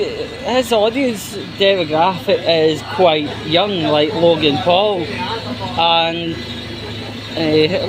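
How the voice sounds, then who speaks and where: A man giving casual opinion commentary in a halting, rambling, disapproving way. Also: a little high, low-quality recording. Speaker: commentary YouTuber.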